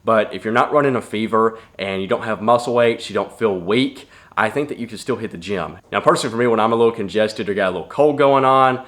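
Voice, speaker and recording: A man's voice: on the low side, fast talker, a bit bright. A young man giving casual fitness advice to camera in a plainspoken, earnest way from his home gym. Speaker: fitness vlogger.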